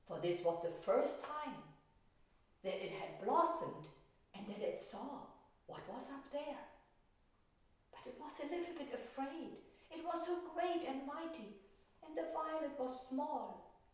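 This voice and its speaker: A woman's voice: sits high, darkish tone. Female children's teacher and storyteller, leisurely and reassuring delivery, very reverberant distant recording. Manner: simple, unhurried, reassuring explanations. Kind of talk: gentle children's art lesson and storytelling. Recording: echoey room, distant microphone.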